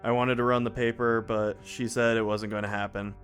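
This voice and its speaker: A man's voice: mid-range voice, little pitch movement, a little fast, fairly bright tone, soft background music, lightly acted. A man playing a talkative, eager son in an audio drama, politely persistent with his questions. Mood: earnest.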